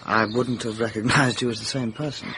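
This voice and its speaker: An actor playing a diffident, flustered young English gentleman in a radio drama, halting and hesitant. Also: mid-range voice, steady tone, a little hiss or hum, close to an everyday voice. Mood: puzzled. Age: young adult.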